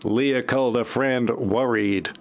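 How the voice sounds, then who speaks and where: A man's voice: medium pitch, steady tone, takes it slowly. A man reading scripted narration for an amateur parody audio play.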